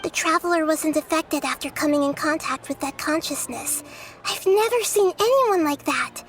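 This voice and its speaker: A woman playing a childlike, wise young goddess in a video game, speaking in a measured, thoughtful, earnest way. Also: sits high, slight background noise, lightly acted. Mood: earnest, resolute. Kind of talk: scripted video game voice acting.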